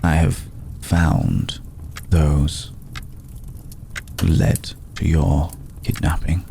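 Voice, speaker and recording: An ancient vampire nobleman acted by a man speaks in an extremely low, gravelly voice. He is slow and deliberate, formal and brooding, protective yet vengeful, in a hushed ASMR roleplay. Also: level tone, a little hiss or hum, full character voice. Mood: cold, vengeful. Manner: measured, formal, deliberate with long pauses.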